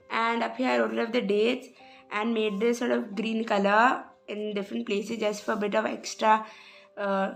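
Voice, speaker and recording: Young woman with a slightly rough voice. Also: a little high. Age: young adult. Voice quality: hesitant, many "sort of" fillers. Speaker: journal vlogger. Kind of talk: casual craft walkthrough voice-over.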